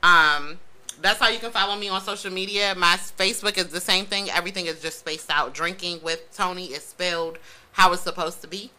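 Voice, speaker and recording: Bright, low-voiced woman in her thirties. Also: fairly quick. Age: 30s. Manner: laid-back, laughing, rambling storyteller. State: tipsy. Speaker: podcast guest, mobile bartender. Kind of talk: casual chat.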